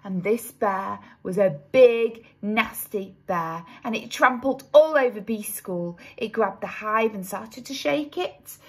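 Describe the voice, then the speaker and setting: A woman narrating a children's story expressively, with dramatic, drawn-out emphasis on key words. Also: medium pitch, husky. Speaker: storybook reader.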